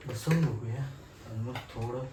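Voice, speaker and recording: A man's voice: medium pitch, unhurried. Guy w doing casual install vlog, far from mic, lots of noise. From Maharashtra, India. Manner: informal, thinking aloud while working. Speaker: motovlogger. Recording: roomy, noisy, distant microphone.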